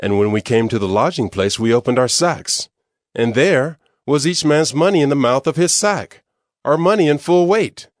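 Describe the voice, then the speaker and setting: A man's voice: mid-range voice, animated, normal speed, dry recording, studio quality, natural voice. A man voicing an anxious brother who carefully explains himself.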